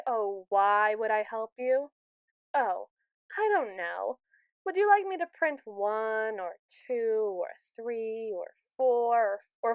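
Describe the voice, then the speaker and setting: A woman's voice: a little high, clear, resonant tone, dry recording, narrow, tinny audio, close to an everyday voice. A woman playing a smug, sassy, domineering female robot AI in an ASMR roleplay monologue, theatrical and wordy as she teases the listener. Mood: sly, teasing. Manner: sassy, theatrical, verbose and teasing.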